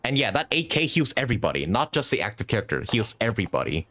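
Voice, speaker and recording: A man's voice: on the low side, sing-song, quick pace, pushed and bright. A man doing casual live commentary as a game streamer, thinking aloud as he plays and swearing casually.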